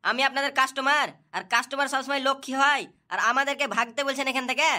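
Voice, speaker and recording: A woman's voice: high-pitched, fairly even intonation, quick pace, sharp tone. A woman voicing a sassy young schoolboy customer in a comedy cartoon, with indignant, mocking delivery. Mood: protesting. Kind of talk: comic cartoon dubbing.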